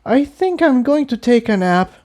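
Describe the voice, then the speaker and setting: An earnest young mare from a storybook adventure, performed by a man in a very high, airy register. She sounds caring and pleading, loyal to those around her. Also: very animated, moderate pace, darkish tone. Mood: tired.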